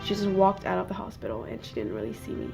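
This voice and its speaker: A teenage student from China speaking earnestly in a documentary interview. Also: female voice, on the low side, sing-song, fast talker, gravelly. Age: teens. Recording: faint music underneath.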